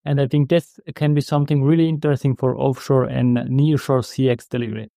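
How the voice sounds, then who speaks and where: A man who is not a native English speaker, hosting a conversational interview in a polite, halting way with repeated words. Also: medium pitch, fairly even intonation, vocal fry, warm tone, dry recording, clean studio sound. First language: not English or German. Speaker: podcast host interviewing a guest.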